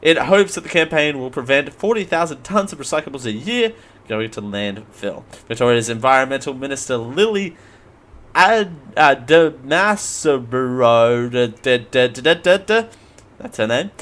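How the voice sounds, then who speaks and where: A hoarse man hosting a casual live show, rambling, joking at himself and stumbling while he reads out news stories. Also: medium pitch, lively intonation, normal speed, fairly bright tone. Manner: rambling, self-mocking, stumbles over names. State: hoarse after flu. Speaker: host. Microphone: headphones at a desk mic.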